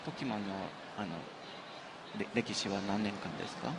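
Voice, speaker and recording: A man interpreting on stage, relaying questions and answers briskly. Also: fairly low pitch. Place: event stage. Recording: noisy live event.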